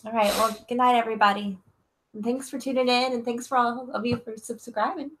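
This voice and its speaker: A woman's voice: on the high side, fairly even intonation, fairly quick, low-quality recording. A woman at home chiming in playfully from off to the side of a livestream, away from the microphone. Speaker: streamer's partner chiming in. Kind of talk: casual chat.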